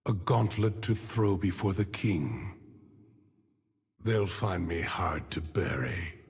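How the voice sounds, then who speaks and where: Game casting: a massive, mournful grave-keeping monk, deep and husky, solemn delivery drenched in reverb. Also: male voice, lively intonation, exaggerated voice. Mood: somber, brooding. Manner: somber, slow, solemn. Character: huge somber undead gravedigger monk, shepherd of lost souls. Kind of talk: video game character voice lines. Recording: long cavernous reverb effect.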